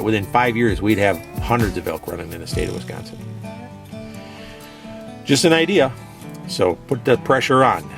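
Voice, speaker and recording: A man hosting a radio show, talking in an emphatic, opinionated, casual way and leaning on "you know" and "guess what". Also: on the low side. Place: radio studio.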